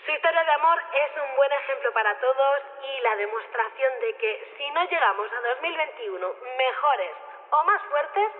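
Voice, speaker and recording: An actress as an earnest female official delivers a solemn ceremonial speech in a high voice with a Spain Spanish accent. She is formal and halting, and her words carry a long reverb through a thin, narrow public-address sound, as in radio drama. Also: lightly acted. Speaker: festival committee speaker.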